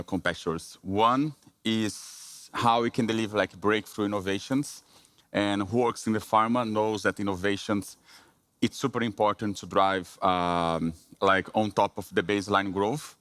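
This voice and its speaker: A man in his thirties from Brazil with a Brazilian Portuguese accent, giving a conference presentation in a halting way with many fillers and restarts. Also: on the low side, a bit bright, dry recording.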